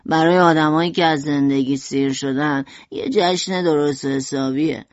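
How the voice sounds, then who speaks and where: A woman voicing a gloomy, morbid young man of twenty, speaking in a lowered, brooding tone. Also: steady tone, a little mellow, no echo, natural voice. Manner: low, brooding delivery. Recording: dull lo-fi audio.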